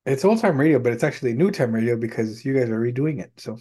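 A man's voice: medium pitch, lively intonation, fast, mellow, slightly rough. A man hosting a casual chat in an agreeable, folksy way.

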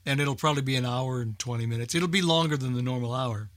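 Man, radio host, forceful bright voice, slightly high pitch, dry close sound, casual halting talk. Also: dry recording.

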